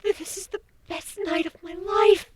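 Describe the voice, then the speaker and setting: A man voicing a tender young female changeling pony, newly married, in a breathy, hushed, hesitant and emotional murmur for a story reading. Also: very high voice, fairly even intonation, a little slow, pitched above the performer's own voice. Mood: overwhelmed, joyful.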